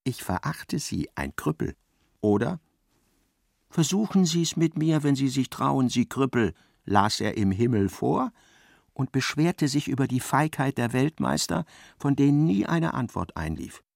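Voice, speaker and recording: An actor narrating a literary radio play, an expressive and ironic storyteller. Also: male voice, mid-range voice, a little slow, creaky, no room sound, studio quality, full character voice. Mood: wry narration. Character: wry literary narrator of a novel, male.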